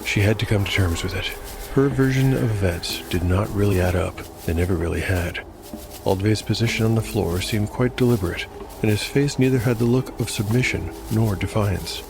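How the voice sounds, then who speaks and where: A man reading scripted dark fantasy narration in a measured storytelling manner. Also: lowish voice, noisy background. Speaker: narrator and host. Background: music.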